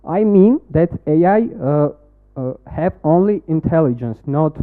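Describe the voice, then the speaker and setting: Armenian-accented man, tinny phone-like sound. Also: animated. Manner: reads a prepared pitch, then answers haltingly with many fillers. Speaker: startup founder pitching. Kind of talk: rehearsed pitch, then halting Q&A.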